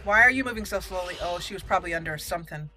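A woman's voice: on the low side, animated, racing, over music. A woman hosting a playful, chatty game commentary, talking to the characters as she plays. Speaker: gaming channel host. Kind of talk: casual roleplay game commentary.

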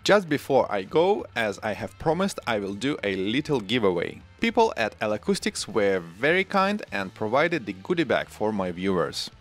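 A man in his forties presenting scripted tech narration in a measured, deliberate way, close on a studio microphone. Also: mid-range voice. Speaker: tech channel host. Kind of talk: scripted narration, product review. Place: small home studio room, indoors. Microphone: close broadcast microphone on arm.

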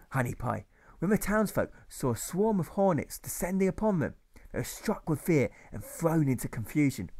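A man's voice: fairly high pitch, a little mellow. A man voicing a doting female Sunday school teacher who tells a child a story in cooing, sing-song baby talk.

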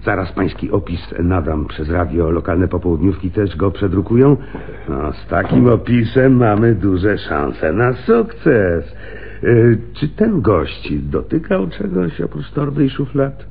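An actor playing a genial, probing police lieutenant in a radio play, conversational, with little acknowledging hums. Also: male voice, low voice, big pitch swings, unhurried, vocal fry, heavily acted. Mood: impressed, probing. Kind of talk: Polish radio play acting. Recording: muffled, phone-like noisy radio recording.

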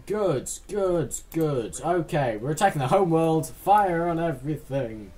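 A man's voice: on the high side, lively intonation, a little slow, darkish tone. Rambling British man over music. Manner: silly, self-correcting, muttering asides. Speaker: gaming video host. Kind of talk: casual rambling game commentary.

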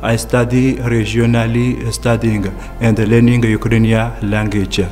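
A man from the Comoros, a Comorian native and student, speaking haltingly with fillers and restarts. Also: medium pitch, level tone, a little mellow, in a large hall, soft background music. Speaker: university student. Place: gallery hall indoors.

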